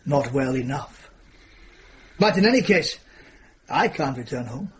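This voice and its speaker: A man's voice: medium pitch, animated, unhurried, a little hiss or hum, close to an everyday voice. An actor playing an earnest, troubled man of the Middle Ages in a radio drama. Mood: resolute, troubled.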